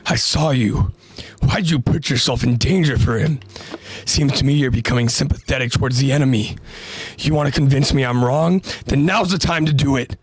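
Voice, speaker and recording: A man voicing a cold, ruthless young male soldier in a low, menacing tone. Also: normal speed, no room sound, close to an everyday voice. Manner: low, menacing, gravelly delivery.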